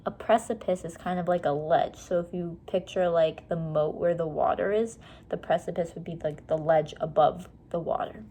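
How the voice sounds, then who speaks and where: A woman's voice: fairly low pitch, steady tone, normal speed, hardly any pauses, dark tone. A woman reading a children's storybook aloud expressively, explaining things simply and casually to young listeners.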